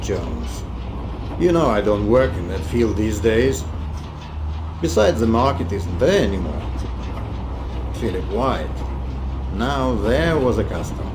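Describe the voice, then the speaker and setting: An actor playing a sardonic, world-weary male arms dealer in a video game, with wry, deliberate, theatrical phrasing. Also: lowish voice, noisy background. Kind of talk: video game cutscene acting.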